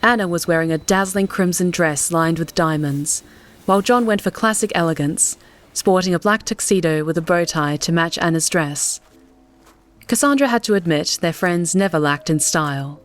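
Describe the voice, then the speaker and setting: A woman's voice: lowish voice, moderate pace. A woman reading scripted fiction as an audiobook narrator. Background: faint music.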